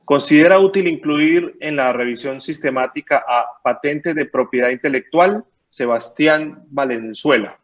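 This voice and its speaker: A man's voice: fairly high pitch, moderate pace, few pauses. Male moderator, friendly, reads audience questions, muffled lo-fi line. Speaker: conference moderator.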